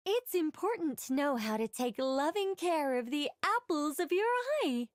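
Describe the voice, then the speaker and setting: A woman's voice: high voice, dry recording, studio quality. A woman voicing a playful, self-assured angel in a video game, airy, coy and pleased with herself.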